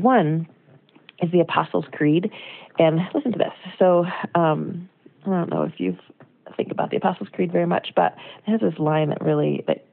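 Low creaky American woman on voicemail. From United States. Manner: conversational, reflective, earnest. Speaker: devotional speaker. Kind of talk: informal voicemail devotional with scripture reading. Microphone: phone. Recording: tinny narrow-band phone voicemail.

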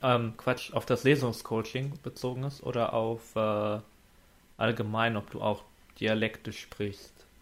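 Halting man hosting a livestream. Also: on the low side, little pitch movement. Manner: halting, many fillers. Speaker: stream host. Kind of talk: casual livestream interview.

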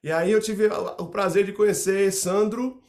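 A man's voice: high-pitched, steady tone, normal speed, darkish tone. A middle-aged man from Salvador with a Bahian Brazilian accent, answering casually as an interview guest and often repeating words as he restarts. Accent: Brazilian Portuguese (Bahia). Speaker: percussionist guest.

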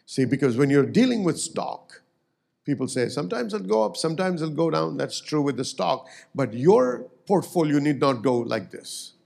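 A man's voice: on the high side, sing-song, moderate pace, gravelly, a little mellow. A man preaching a sermon as pastor, emphatic and swelling on key words.

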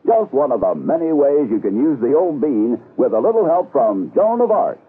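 A man's voice: medium pitch, sing-song, moderate pace, husky. A man reading a scripted radio commercial on a tinny old broadcast. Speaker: commercial announcer.

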